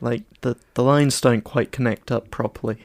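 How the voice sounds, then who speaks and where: A man's voice: medium pitch, fairly even intonation, normal speed, no room sound, studio quality. A man co-hosting a film podcast, chatting casually in a terse, understated and droll manner. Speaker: podcast co-host reviewing films. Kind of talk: casual chat.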